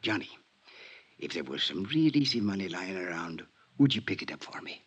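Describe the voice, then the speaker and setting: An actor playing a dying small-time crook in a 1950s radio drama, hoarse and weak, with halting slangy tough-guy talk. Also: deep voice, sing-song, fairly bright tone, muffled recording, full character voice. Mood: sly, urgent. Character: dying male convict, small-time grifter, resigned and wry. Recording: vintage 1950s radio recording.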